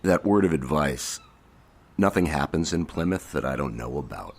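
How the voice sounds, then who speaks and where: Very deep male voice, gravelly fry, deliberate, quietly threatening, audio drama villain. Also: lively intonation, exaggerated voice. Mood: quietly menacing. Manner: slow, deliberate, veiled threat. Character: menacing, watchful small-town man giving veiled warnings.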